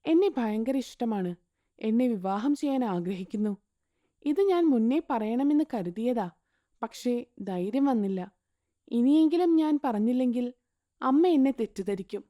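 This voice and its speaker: A woman voicing an earnest young widowed mother in a storybook tale, speaking softly. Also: a little high, animated, moderate pace, pauses often, no echo, clean studio sound, close to an everyday voice. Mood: shy.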